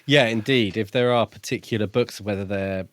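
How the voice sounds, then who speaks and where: Low-voiced man chatting on a podcast. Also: normal speed. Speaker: podcast co-host. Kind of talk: casual book-club podcast chat.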